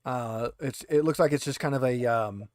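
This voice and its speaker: A man's voice: medium pitch, normal speed, no room sound. A man game mastering in a casual, improvised way, thinking aloud as he describes things.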